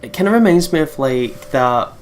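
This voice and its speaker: A man co-hosting a let's play in casual, hesitant, low-key chat. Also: expressive, moderate pace, a little hiss or hum.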